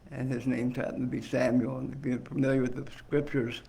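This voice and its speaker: Soft-voiced man speaking in a roomy space. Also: mid-range voice, flat delivery, a little fast, gravelly. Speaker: guest speaker at a church service. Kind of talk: informal sermon teaching from notes and scripture. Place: indoor service room, mic some way off. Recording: distant mic, roomy echo, faint noise.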